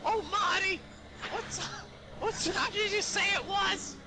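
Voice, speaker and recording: A man voicing a flustered, eccentric old professor in an exaggerated squeak for an amateur radio play. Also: steady tone, slow. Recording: very noisy home voice-chat recording.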